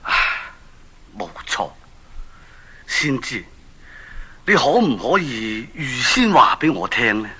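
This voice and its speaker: A man's voice: mid-range voice, sing-song, takes it slowly, long pauses, sharp tone, breathy edge, full character voice. An actor playing an aging, worried and impatient king in a children's radio drama, exclaiming and questioning theatrically. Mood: troubled, sighing.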